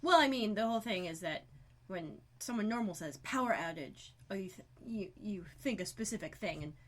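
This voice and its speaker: A woman's voice: medium pitch, lively intonation, fairly quick. A woman co-hosting a podcast, chatting casually with wry, rambling asides. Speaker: podcast co-host. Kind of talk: casual recap chat.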